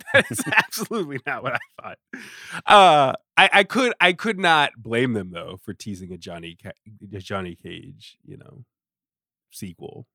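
A man speaking as a podcast guest in a casual pop-culture discussion, halting and often interrupting himself. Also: mid-range voice, animated, sharp tone, no echo, clean studio sound. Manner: halting, self-interrupting, conversational.

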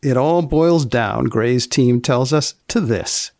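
A man hosting a podcast, asking short probing questions and reading scripted narration in a deadpan way. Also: mid-range voice, takes it slowly, gravelly, no echo, clean studio sound. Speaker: podcast host.

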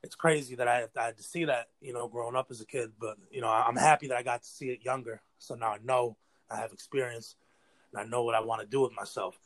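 A man's voice: medium pitch, steady tone, fast, a bit bright, poor audio. An eighteen-year-old American man from New York State talking casually as an interview guest, earnest and rambling, with plenty of slang. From New York State, United States. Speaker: interview guest, battle rapper.

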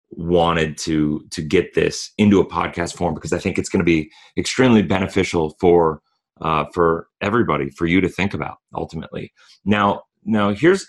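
A man hosting a solo podcast, talking in an earnest coaching tone with frequent restarts, hoarse from a cold. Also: low-pitched, a bit bright. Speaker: podcast host.

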